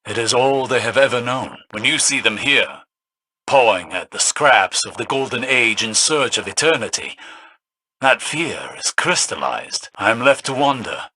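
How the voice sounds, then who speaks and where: An actor playing a cryptic, slyly menacing sorcerer-strategist in a video game, speaking in a measured, insinuating way. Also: male voice, mid-range voice, animated, bright, forceful tone, slightly rough. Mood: brooding, calculating.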